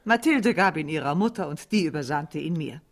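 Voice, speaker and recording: A woman's voice: sits low, lively intonation, brisk. A woman playing a proud, commanding French noblewoman in a German radio drama, speaking formally and reproachfully. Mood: indignant.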